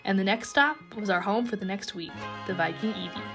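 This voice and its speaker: Brisk woman narrating a travel vlog. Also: medium pitch, vocal fry. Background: faint music.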